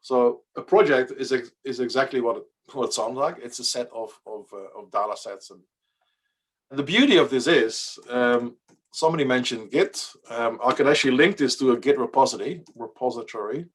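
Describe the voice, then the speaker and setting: A man's voice: medium pitch, breathy edge. Dutch-native man teaching coding online. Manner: casual, halting, many fillers and restarts. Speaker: workshop presenter. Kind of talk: online coding workshop demo.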